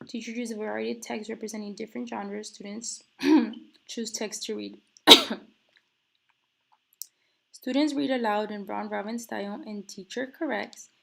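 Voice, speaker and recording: A woman's voice: a little high, bright, forceful tone. A woman presenting a textbook chapter, reading from slides and explaining them casually with many fillers, while recovering from an illness and coughing now and then. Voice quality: gravelly fry. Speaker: student presenter.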